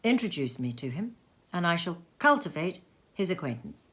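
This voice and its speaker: A woman voicing a cheerful young gentleman in a storybook reading, hearty, good-humoured and exclamatory. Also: fairly low pitch, sing-song, normal speed. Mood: jovial. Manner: exclamatory, breaking into laughter.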